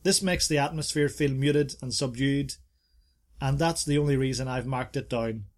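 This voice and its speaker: A man's voice: mid-range voice, steady tone, normal speed, darkish tone. A man co-hosting a casual podcast, chatting about bars and reading reviews aloud with playful asides. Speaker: podcast co-host.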